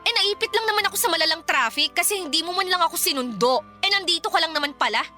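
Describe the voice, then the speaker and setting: A woman's voice: extremely high pitch, expressive, fast, bright, forceful tone, faint music behind, heavily acted. A woman acting a frustrated young working girlfriend in a radio drama, dramatic and exasperated, with a Filipino accent. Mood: annoyed. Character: frustrated young working woman nagging her live-in boyfriend.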